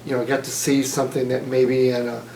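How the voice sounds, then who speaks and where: Casting: man in his fifties from Mississippi, mellow dark voice, measured oral-history storyteller, echoey room with faint noise. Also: medium pitch, little pitch movement, creaky. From Mississippi, USA. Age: 50s. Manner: measured, thoughtful, many fillers and restarts. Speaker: interviewee.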